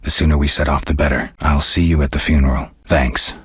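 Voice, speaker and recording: An actor playing a stoic, world-weary monster hunter in a video game, gravelly and terse with a deadpan restraint. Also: male voice, very deep voice, brisk, no room sound, studio quality, heavily acted. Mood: low, reserved. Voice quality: gravelly, husky. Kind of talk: video game character dialogue.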